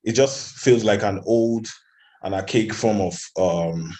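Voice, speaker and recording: A man's voice: lowish voice, takes it slowly, a little mellow. A man from Nigeria giving conversational interview answers as an animation studio founder, in hesitant phrasing with repeated restarts.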